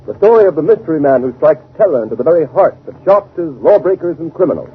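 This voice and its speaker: A man's voice: a little high, animated, fast, breathy edge. A man working as a 1930s radio announcer, reading scripted sponsor copy with an emphatic salesman's delivery. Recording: noisy, narrow-band 1930s radio broadcast.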